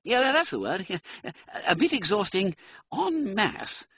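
A man voicing a genial, dithery young gentleman who stammers and hesitates through upper-class slang. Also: high voice, wildly swooping pitch, moderate pace, husky, dry recording, voice pushed up, full character voice. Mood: nervous jolly. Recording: dull, dry, muffled sound.

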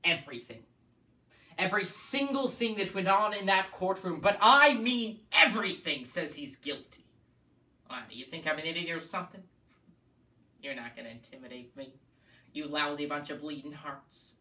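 Man acting forceful, hot-tempered, belligerent juror. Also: fairly high pitch, lively intonation, moderate pace. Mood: angry. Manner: combative, agitated, stammering outbursts. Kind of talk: theatrical dramatic monologue. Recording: echoey room, distant mic.

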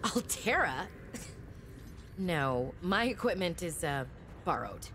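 An actress playing a feisty, wary human explorer in a video game, expressive and sardonic. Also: female voice, on the low side, slow, a little hiss or hum, full character voice. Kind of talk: scripted video game acting.

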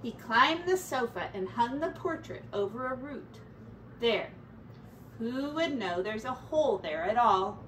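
A middle-aged woman reading a children's storybook aloud like a teacher, in a measured, dramatic way. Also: fairly high pitch, animated, fairly bright tone. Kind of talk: expressive children's storybook narration. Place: small attic-style bedroom, indoors. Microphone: distant camera mic. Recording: roomy, distant mic, faint noise.

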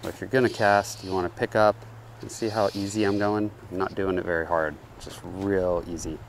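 Man, low voice, relaxed and chatty, outdoors by a river, head-mounted camera, faint background noise. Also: a little fast, low-quality recording. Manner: relaxed, chatty, addresses viewers as guys. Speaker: fly fishing vlogger. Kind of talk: casual how-to narration while fishing. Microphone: head-mounted action camera.

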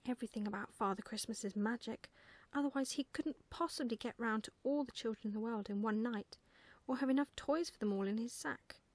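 A woman's voice: on the high side, a little fast, hardly any pauses, no room sound, natural voice. A woman voicing a proud, bossy little girl in a storybook, explaining things in an emphatic, matter-of-fact way.

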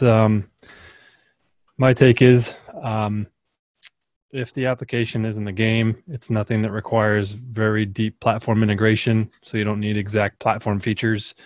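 A man with a lowish voice speaks into a desk microphone on a boom arm. He gives his opinions bluntly and plainly. The sound is dry, with no room echo. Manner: direct, opinionated. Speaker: panelist. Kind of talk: casual video call discussion. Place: home room. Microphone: desk mic on arm.

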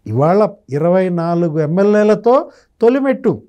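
A man's voice: high-pitched, big pitch swings, moderate pace, dark tone. A man, giving conversational political commentary as a guest analyst, quoting others and posing rhetorical questions. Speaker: senior journalist, guest analyst. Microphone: lapel mic.

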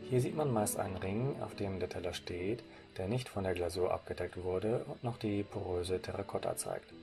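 A man's voice: sits low, normal speed, creaky, over music. A man from Germany presenting, reading scripted narration for a slide presentation in a formal way. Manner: formal, read-out delivery.

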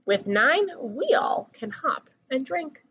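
A young adult woman reading a rhyming picture book aloud to children in an expressive, singsong way. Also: sits high, takes it slowly, lo-fi sound. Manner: animated, singsong rhyming delivery. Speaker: children's story reader.

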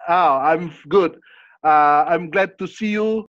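Headset man, higher voice, halting phrasing. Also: a bit bright, no room sound. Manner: halting non-native English, frequent fillers and encouraging backchannels. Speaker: interview host. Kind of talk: video call interview. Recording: dry lo-fi headset audio.

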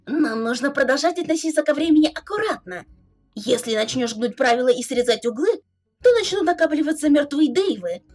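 Casting: woman as teenage alien troll girl, bossy and teasing, high and swooping, theatrical webcomic dub. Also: animated, brisk, a little mellow, faint music behind. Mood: bossy, playful. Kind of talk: fan dub of a webcomic chat.

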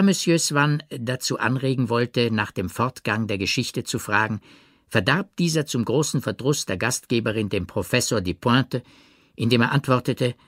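A man reads literary prose as an audiobook narrator. His voice sits at a middle pitch, with measured, expressive and precise phrasing. The recording is close and dry. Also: dry recording.

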